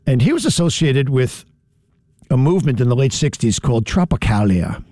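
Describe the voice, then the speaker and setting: A man's voice: mid-range voice, very animated, normal speed, dark tone, dry recording. A middle-aged man hosting a radio show, talking casually in a studio, often restarting and correcting himself. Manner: rambling, self-correcting. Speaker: radio host. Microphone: studio mic.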